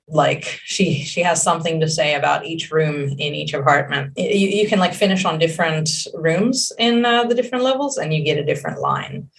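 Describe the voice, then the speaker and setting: A woman's voice: sits low, gravelly, distant mic, slight background noise. A young woman whose first language is Hebrew, answering interview questions casually, rambling with lots of fillers and restarts. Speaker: game creative director.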